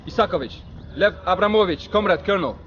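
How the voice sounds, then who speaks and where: An actor playing an earnest Soviet army lieutenant in a war game, urgent and respectful. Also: male voice, high-pitched, fast talker, fairly bright tone, dull audio, a little hiss or hum. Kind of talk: dramatic video game cutscene dialogue.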